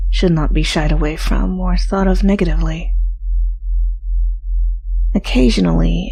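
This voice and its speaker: A woman reading a scripted hypnosis induction as a hypnotist, talking softly and slowly and often sliding into a breathy whisper. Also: lowish voice, slight background noise, rough recording. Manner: soft, slow, breathy whispering. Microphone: studio mic, binaural.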